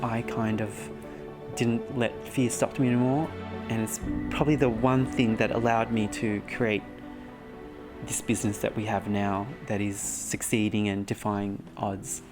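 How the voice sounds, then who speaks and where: A young man from Cambodia, telling his story as a business founder in a soft, earnest documentary narration with frequent pauses. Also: lowish voice, little pitch movement, over music. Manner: soft-spoken, earnest, pausing with frequent ums. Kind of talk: documentary testimony and reflective narration.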